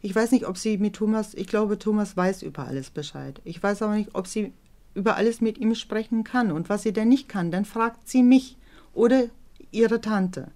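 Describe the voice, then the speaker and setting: A middle-aged woman speaking candidly and reflectively as a mother in a documentary interview, somewhat halting and often adding tag questions to seek agreement. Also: medium pitch, darkish tone. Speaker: interviewee, mother of a teenage daughter.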